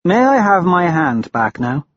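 A man's voice: fairly high pitch, sing-song, unhurried, fairly bright tone, lo-fi sound, pitched above the performer's own voice, heavily acted. A man voicing a cold, lazy, mocking woman who commands a band of rebels in an audiobook. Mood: dry. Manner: cool, commanding, toying.

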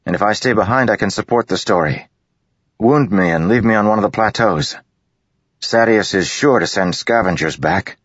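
A man's voice: lowish voice, moderate pace, fairly bright tone, breathy edge, no room sound, voice pushed up. A man voicing a determined young male soldier who leads a bridge crew, speaking in an urgent, commanding way. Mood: eager, persuasive. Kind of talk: audiobook character reading. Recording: dull, lo-fi dry recording.

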